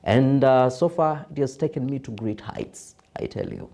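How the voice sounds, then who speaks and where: A young Kenyan man with a deep voice, a broadcaster and voiceover artist chatting as a podcast guest, laughing easily and mixing in Swahili. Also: moderate pace, creaky, warm tone. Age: young adult. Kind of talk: casual interview chat.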